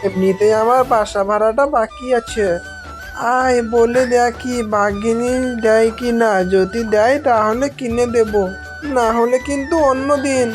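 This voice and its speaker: A woman voicing a hard-up, apologetic jackal mother in a cartoon, gentle and plaintive. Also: a little high, steady tone, a little slow, soft background music, natural voice. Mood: reluctant, explaining. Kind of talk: cartoon voice acting.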